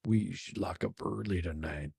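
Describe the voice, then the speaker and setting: Man acting a low, teasing seducer. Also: normal speed, gravelly, darkish tone, slightly rough, dry recording, clean studio sound, full character voice.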